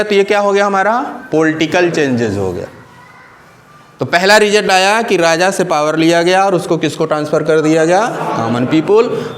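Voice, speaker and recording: A male teacher giving a classroom lecture, asking questions and answering them himself and repeating key terms in English and Hindi. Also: fairly high pitch.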